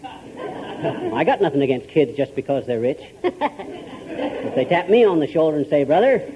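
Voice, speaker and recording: An actor playing a blustery, boastful, folksy middle-aged husband in 1940s radio comedy style. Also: male voice, fairly high pitch, very animated, unhurried, dark tone, a faint crowd. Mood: proudly boastful. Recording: noisy 1940s radio recording, audience behind.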